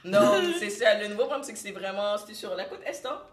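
A woman's voice: medium pitch, a little fast, a crowd in the background. A woman from Cameroon hosts a casual, joking group chat and slips into English. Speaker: podcast host. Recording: echoey room.

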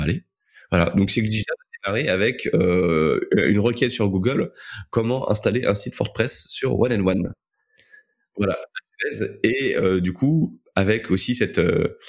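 A young man with a low-pitched voice speaks as a guest in a casual interview. He tells his story in a hesitant way, often repeating words as he looks for the next one. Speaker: interview guest, co-founder of a digital media outlet.

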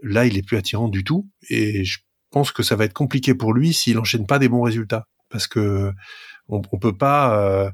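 A man's voice: lowish voice, normal speed, no room sound, studio quality. A man co-hosting a sports podcast, talkative and emphatic as he gives his opinions in casual discussion. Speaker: rugby podcast co-host.

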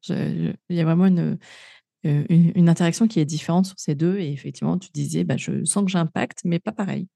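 A podcast host from France talks in a loose, chatty way, stringing thoughts together with fillers and easy laughter. Her voice is very dark and gravelly. The recording is dry and close. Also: fairly low pitch, a little fast, dry recording. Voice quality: frequent fillers and restarts, laughs easily. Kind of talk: casual interview, then outro to listeners.

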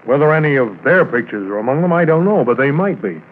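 An actor playing a courteous, businesslike police sergeant with a measured, official manner in a 1950s radio drama. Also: male voice, mid-range voice, lively intonation, lightly acted. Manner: measured, gently steering, official. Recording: tinny, noisy 1950s radio transcription.

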